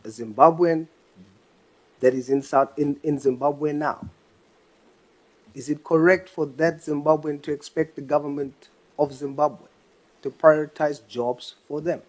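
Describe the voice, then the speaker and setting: A man's voice: a little high, fairly even intonation, a little slow, long pauses. A man questioning a witness at a committee hearing, pressing and insistent, repeating his question until it is answered. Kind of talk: parliamentary questioning.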